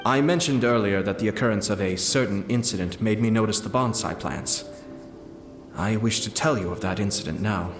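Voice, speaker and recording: A composed young noble lord from a video game played by a man, with a fairly low voice. He speaks formally and deliberately, calm and calculating, with clear music playing behind him. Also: lightly acted. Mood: measured, serious. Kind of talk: video game character dialogue.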